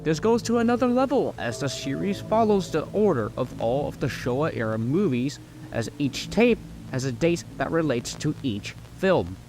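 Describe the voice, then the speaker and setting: A man's voice: fairly high pitch, sing-song, moderate pace, darkish tone, faint music behind. A man narrating a scripted video essay, explaining his points earnestly and emphatically with an occasional stumble.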